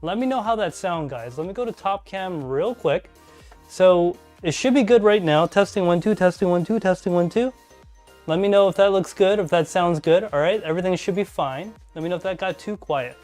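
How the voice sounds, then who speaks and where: A man hosting a casual live stream, talking through his network gear and reading chat aloud as he thinks out loud. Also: normal speed, soft background music. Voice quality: deep voice. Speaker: podcast host live streaming. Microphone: desk mic, level adjusted mid-stream.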